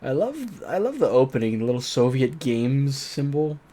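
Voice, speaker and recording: A woman's voice: extremely low pitch, sing-song, normal speed, mellow. A woman hosting a gaming show, chatting casually with playful asides and reading a visual novel aloud. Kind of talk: casual let's-play chat and dramatic read-aloud of a visual novel. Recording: guitar game music behind the voice. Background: guitar game music.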